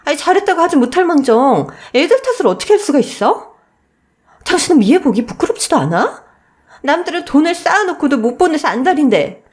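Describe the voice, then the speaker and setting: A woman's voice: high voice, sing-song, brisk, voice pushed up. A woman playing a defiant, fed-up middle-aged wife and protective mother, scolding in a radio story. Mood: exasperated.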